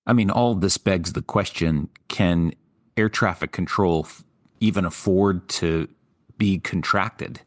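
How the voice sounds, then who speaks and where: A man's voice: low voice, slow pace. A man co-hosting a podcast, putting questions in a conversational way. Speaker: podcast co-host.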